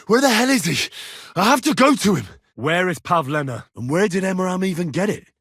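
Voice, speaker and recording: A man playing a young, earnest, plucky medieval blacksmith turned adventurer in a video game, with a plain-spoken, expressive delivery. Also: on the high side, husky, no room sound, studio quality, exaggerated voice. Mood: agitated, urgent. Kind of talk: video game voice acting, dramatic dialogue lines.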